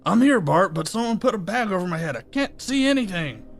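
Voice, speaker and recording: Man as wary meat-dealing thug, gravelly creak, horror comedy audio play, clear music behind. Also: sits high, very animated, a little fast, exaggerated voice. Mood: uneasy. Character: wary gangster meat dealer Benny, older brother's sidekick.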